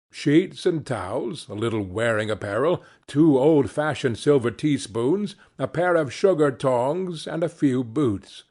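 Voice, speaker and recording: A man narrating a classic novel as an audiobook, with slow, expressive storytelling. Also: mid-range voice.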